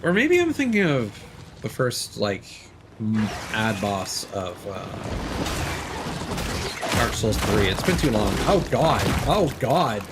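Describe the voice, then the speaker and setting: A man in the middle of a game stream, talking through it in a rambling, self-correcting way with some casual swearing. His voice sits lowish but bounces animatedly up and down. The recording has a clear hiss of noise and game sounds under it. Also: huge pitch swings, slow pace, pauses often. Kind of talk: live gameplay commentary. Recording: noisy background.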